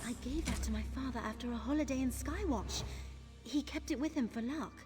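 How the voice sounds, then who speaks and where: A woman's voice: on the high side, fairly quick, lightly acted. An actress voicing an earnest young woman thief searching for her missing father in a video game, confiding in tone. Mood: puzzled, searching. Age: young adult. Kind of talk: video game character dialogue. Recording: game music behind the voice.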